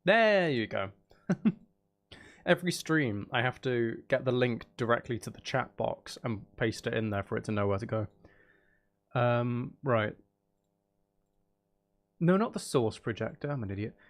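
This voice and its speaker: A man's voice: medium pitch, animated, a little slow, pauses often. A man who streams games, chatting casually and rambling in a self-deprecating way. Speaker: game streamer and audiobook narrator. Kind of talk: casual livestream chat and game commentary.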